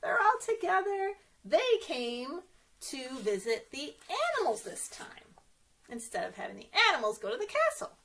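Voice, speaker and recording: A woman's voice: high-pitched, very animated, moderate pace, gravelly, fairly bright tone. A woman reading a picture book aloud for young children as a story time host, playfully exclaiming and asking her listeners questions.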